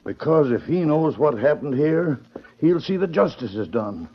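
An actor playing an honest, wrongly accused rancher in an old radio western, speaking with halting sincerity. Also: male voice, on the high side, sing-song, husky, heavily acted. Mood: resolute, hopeful. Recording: dull old radio recording.

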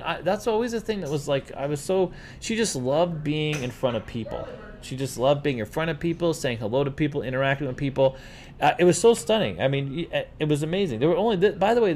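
A man's voice: on the high side, fast. A middle-aged man from New York City, chatting casually as a host and rambling through stories while calling everyone dude. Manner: rambling, animated, says "dude" often. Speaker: host answering viewer questions. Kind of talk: casual livestream chat.

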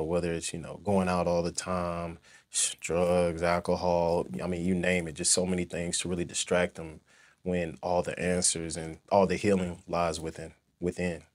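A young man from St. Louis with a very deep voice speaks as an interview guest. He rambles easily and fills his pauses with "you know" and "like." His talk stays relaxed and conversational. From St. Louis, Missouri. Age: young adult. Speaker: guest, former football player.

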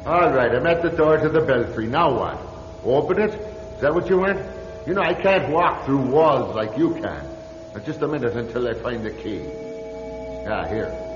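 A man's voice: mid-range voice, normal speed, lightly acted. Flustered priest played by a man in echoing space. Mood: wary, grumbling. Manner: excitable, muttering, theatrical. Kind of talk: old-time radio drama acting. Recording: dull, noisy old radio recording, echoing hall.

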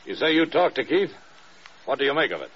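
A husky-voiced American general in an old radio drama, measured and commanding. His delivery rises and falls widely as he presses his questions. The recording is dull and lo-fi. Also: mid-range voice, big pitch swings, a bit bright. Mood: pressing, grave. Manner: measured, authoritative, pointed questioning. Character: stern, probing older male air force general.

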